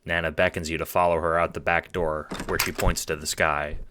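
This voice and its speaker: Deep-voiced man narrating an audio drama. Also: little pitch movement, fairly quick, pushed and bright, no echo, clean studio sound. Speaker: narrator and producer. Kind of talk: second-person scripted audiobook narration.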